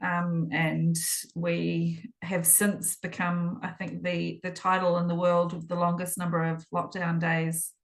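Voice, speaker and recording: Slow, halting woman. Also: lowish voice, completely flat delivery, vocal fry. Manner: hesitant, halting with frequent fillers and restarts. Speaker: podcast guest. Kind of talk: conversational interview answers.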